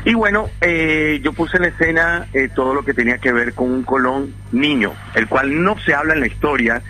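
A man's voice: mid-range voice, normal speed. A middle-aged man from Venezuela, an event organizer telling his story at length on a radio interview. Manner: rambling, animated storytelling with restarts.

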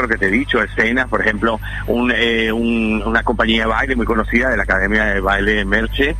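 A man's voice: mid-range voice, rough recording. A middle-aged man from Venezuela, an event organizer telling his story at length on a radio interview. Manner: rambling, animated storytelling with restarts.

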